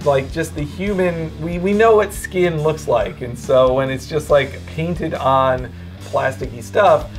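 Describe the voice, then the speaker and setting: A man's voice: a little high, measured pace, faint music behind. A young man giving casual, conversational commentary as a video game pundit.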